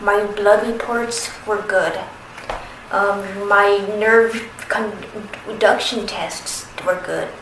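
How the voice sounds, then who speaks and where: A woman in her thirties who stutters, speaking slowly with pauses and restarts in a personal testimony. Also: medium pitch, fairly even intonation. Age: 30s. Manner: stutters, with repeated sounds and restarts; slow, careful, with pauses. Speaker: documentary submitter. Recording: roomy, distant from the mic, noisy background.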